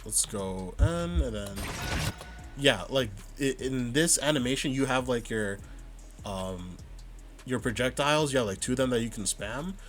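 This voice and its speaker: A man calmly breaking down his own match footage, thinking aloud and stopping to rewind and check his plays. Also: mid-range voice, unhurried, music in the background. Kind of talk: casual vod review commentary.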